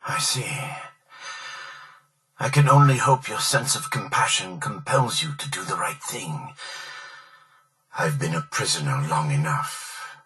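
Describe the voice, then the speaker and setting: An actor playing a proud, bitter old tycoon kept alive by a machine in a video game, theatrical and self-pitying. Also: male voice, low voice, measured pace, pauses often, pushed and bright, recorded from a distance, faint music behind, poor audio. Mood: desperate pleading. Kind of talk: dramatic video game voice acting.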